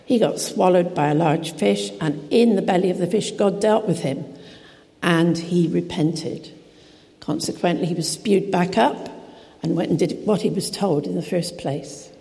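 A woman preacher with a croaky voice, giving a chatty, informal sermon into a PA microphone in a church hall, with self-deprecating asides. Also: lowish voice, a little slow, warm tone. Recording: reverberant hall with faint noise.

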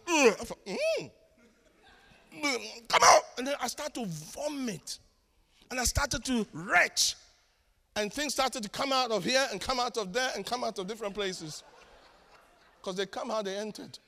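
A Ghanaian man preaching in a large church, his voice high and bright with a forceful edge. He tells stories with self-mocking humor and swings between shouted lines and quiet asides. Long reverb from the hall trails after his words. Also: very animated, a little slow, creaky. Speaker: pastor. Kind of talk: sermon.

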